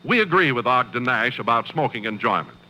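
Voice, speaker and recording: Animated American male radio announcer. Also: medium pitch, a little fast. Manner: polished, emphatic selling. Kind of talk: 1950s radio announcing, scripted. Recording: dull old radio recording, music behind.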